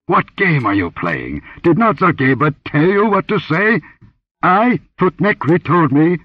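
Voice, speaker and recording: A man's voice: fairly high pitch, very animated, unhurried, no echo, dull audio, pitched above the performer's own voice. A man voicing a furious, hissing male priest who threatens his captive, reading an audiobook. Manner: hissing, menacing.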